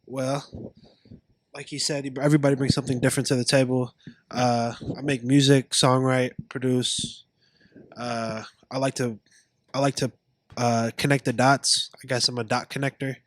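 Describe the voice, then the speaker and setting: A man's voice: medium pitch, flat delivery, normal speed. A man in his twenties, a musician and producer, talking casually and a little hesitantly on a podcast. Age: 20s. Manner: hesitant, thoughtful.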